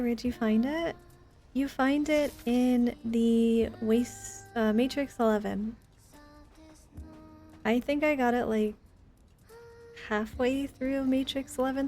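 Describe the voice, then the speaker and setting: A woman's voice: fairly high pitch, fairly even intonation, unhurried, mellow. A woman chatting casually and expressively as she plays a game live, with a playful manner. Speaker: game streamer. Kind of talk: casual live gameplay commentary. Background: game music and sounds.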